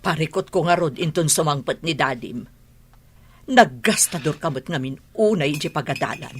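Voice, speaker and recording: An actress playing a troubled, plaintive mother with a husky voice in a radio drama. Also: deep voice, expressive, moderate pace. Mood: worried. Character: troubled mother worried about money and hard work. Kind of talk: regional radio drama acting.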